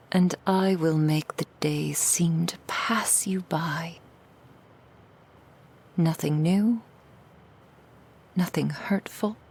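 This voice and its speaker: A woman playing a seductive female demon in an ASMR roleplay, coaxing the listener in a breathy whisper with soothing, insidious persuasion. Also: on the low side, full character voice. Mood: coaxing, assured. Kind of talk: ASMR roleplay, intimate monologue.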